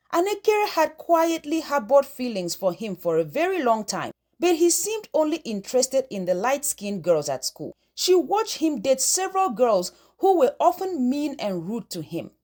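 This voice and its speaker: A woman's voice: sits high, sing-song, moderate pace, a little mellow. A woman narrating a scripted folktale as the storyteller.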